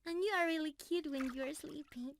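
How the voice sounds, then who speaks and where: High, sweet young mermaid, acted by a woman. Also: normal speed, a little hiss or hum. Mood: soothing, sleepy. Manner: affectionate, breathy sighs and giggles, emotional. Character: young female mermaid, sweet, adoring, emotional romantic partner. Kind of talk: ASMR romantic roleplay.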